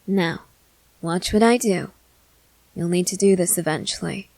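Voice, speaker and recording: A woman's voice: on the low side, measured pace, gravelly, a little mellow, no echo, poor audio. Assured female vampire mentor, dry close sound, woman acting. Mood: matter-of-fact, teasing. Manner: matter-of-fact, coaxing, assured. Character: confident, reassuring experienced female vampire mentor. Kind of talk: ASMR roleplay acting.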